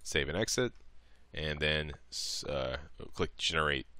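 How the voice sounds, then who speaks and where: Guy w really deep but crisp bright voice doing a laid back software tutorial, explains step by step kinda offhand. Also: animated, a little slow, dry recording. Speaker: modding tutorial presenter. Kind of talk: casual screen-recorded software walkthrough.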